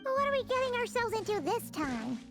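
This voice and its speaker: A woman voicing a tiny, excitable, childlike fairy companion in a video game, squeaky and exclamatory. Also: a little fast, full character voice. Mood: puzzled, wary. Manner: refers to herself in third person, exclamatory. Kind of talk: animated video game voice acting. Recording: music behind the voice. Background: game music.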